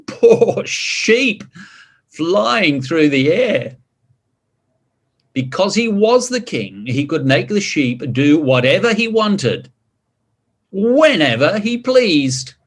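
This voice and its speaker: A man reading a picture book to children, expressive, drawing out words and adding chatty asides and questions. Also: high voice, slow pace. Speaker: story reader.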